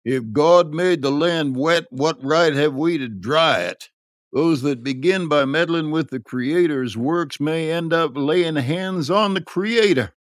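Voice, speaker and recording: A man voicing a pompous, superstitious fat gentleman in an audiobook, dismissive and sanctimonious. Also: fairly high pitch, takes it slowly, no echo, studio quality, voice pushed up. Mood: disgusted, pious. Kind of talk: audiobook character acting.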